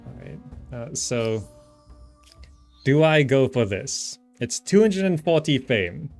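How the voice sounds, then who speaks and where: A man's voice: medium pitch, vocal fry, a little mellow. A man giving casual gameplay commentary, thinking aloud as he plays. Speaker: gaming video host.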